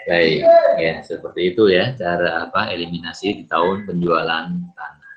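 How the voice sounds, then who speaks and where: A man's voice: deep voice, steady tone, moderate pace. An Indonesian man giving a step-by-step recorded lecture in a didactic way, often asking questions and answering them himself. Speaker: accounting lecturer. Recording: muffled, lo-fi microphone sound.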